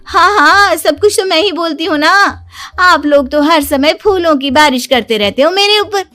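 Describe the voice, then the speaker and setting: A woman's voice: very high-pitched, normal speed, sharp tone, faint music behind, voice pushed up. A woman voicing a boastful, self-pitying young daughter-in-law in a story, complaining dramatically. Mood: indignant.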